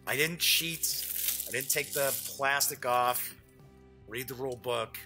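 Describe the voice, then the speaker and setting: A man's voice: medium pitch, unhurried, bright, forceful tone, soft background music. A middle-aged man hosting a casual live unboxing show, chatty and jokey, often wandering off on small asides. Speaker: show host.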